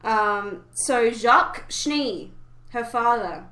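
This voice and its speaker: Bright-voiced woman in a roomy space. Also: on the high side, lively intonation, drawn-out words. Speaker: podcast host. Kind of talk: casual fan discussion of an animated series.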